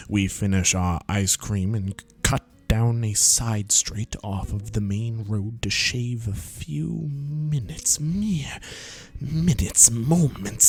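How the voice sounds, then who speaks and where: Slow, lively low-voiced male reader. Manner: playful, drops whispered running-gag asides. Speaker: reader of a visual novel for friends. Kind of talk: reading a game aloud with jokey asides.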